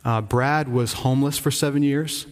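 Man, pastor, mid-pitched voice, earnest informal update, halting restarts, long church reverb. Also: fairly even intonation. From Rhode Island. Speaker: visiting church-planting pastor. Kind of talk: informal mission update from the pulpit. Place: church sanctuary.

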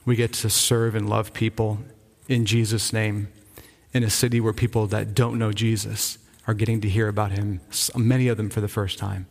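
A man's voice: fairly low pitch, flat delivery, gravelly, a little mellow. A man from Rhode Island, a pastor, giving an earnest, informal update from the pulpit, often restarting his sentences. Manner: earnest, enthusiastic, halting with frequent restarts. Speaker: visiting church-planting pastor. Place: church sanctuary. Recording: long reverb of a church hall.

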